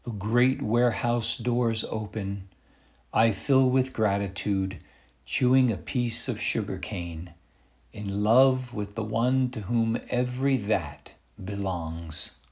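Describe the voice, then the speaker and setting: Very slow-reading man reciting poetry. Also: fairly low pitch. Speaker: poetry reader.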